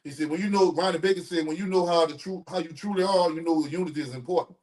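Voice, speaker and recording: Man, 50s, loud high voice, rapid, preachy podcast host. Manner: preachy, repeats his points to drive them home. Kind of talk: casual podcast chat.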